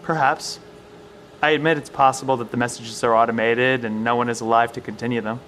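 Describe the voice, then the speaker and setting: A man playing an earnest professor in a survival bunker, explaining things in a measured, careful way in an audio drama. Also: mid-range voice, fairly even intonation, a bit bright, slight background noise, natural voice. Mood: cautiously hopeful. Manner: explanatory, hesitant, reasoning.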